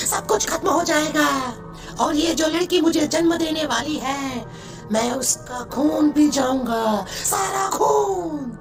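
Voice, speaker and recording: A woman voicing a sinister, bloodthirsty demon newborn in a horror cartoon, with a drawn-out, menacing delivery. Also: high-pitched, far from the mic, over music. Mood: gloating menace. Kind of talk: Hindi horror cartoon voice acting.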